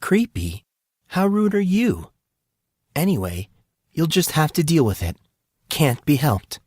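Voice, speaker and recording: A man voicing a blunt, deadpan teenage girl in an audiobook drama. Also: on the high side, unhurried, breathy edge, dry recording, studio quality, voice pushed up, exaggerated voice. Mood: deadpan teasing.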